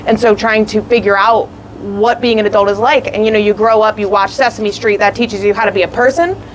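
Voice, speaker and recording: A young woman who is a puppeteer and actor, answering interview questions in an expressive, rambling way with lots of fillers. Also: medium pitch, brisk, a little hiss or hum, poor audio. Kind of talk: casual interview.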